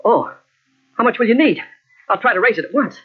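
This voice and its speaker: An actor playing an anxious, weak-willed gentleman, flustered and exclamatory, in an old-time radio mystery. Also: very high-pitched, lively intonation, fairly quick, husky, heavily acted. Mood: alarmed. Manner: flustered, exclamatory, talking to himself. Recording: dull lo-fi old radio cassette recording.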